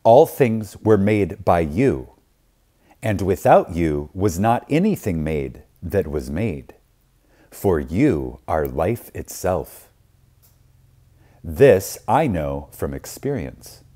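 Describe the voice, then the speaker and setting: Male lecture reader: very slow and reverent, widely varied intonation, deliberate pauses and emphasis. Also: low voice, very animated, dark tone. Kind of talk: scripted spiritual lecture reading.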